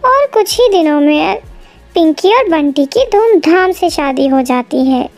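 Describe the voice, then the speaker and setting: A woman's voice: extremely high pitch, animated, measured pace, faint music behind. A woman narrating a scripted cartoon story as the storyteller.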